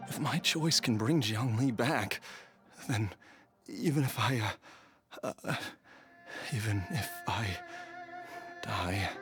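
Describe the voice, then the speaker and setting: An actor playing a gravely ill, resigned husband and father in a video game drama, speaking earnestly and haltingly. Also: on the low side, fairly bright tone, soft background music. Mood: solemn resolve.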